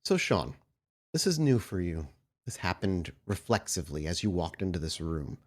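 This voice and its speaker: A man with a fairly low voice runs a tabletop horror game as its storyteller. He explains and describes things in deliberate, dramatic phrases, speaking as the game master to his players. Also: very animated.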